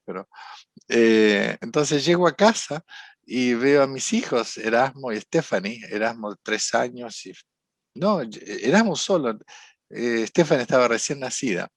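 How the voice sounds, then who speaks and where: A man with an Argentine accent, a psychotherapist telling his story in an interview, reflective and halting, often restarting his sentences. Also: mid-range voice, dry recording. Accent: Argentine Spanish. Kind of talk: conversational storytelling.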